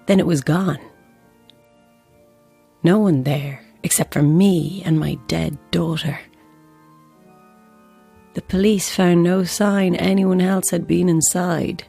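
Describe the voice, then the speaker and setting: A bereaved Irish mother, recounts a haunting in a measured, grave way. Her voice sits slightly low, with music underneath, as in an audio drama. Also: creaky, mellow, slightly rough, heavily acted. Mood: sombre, resolute.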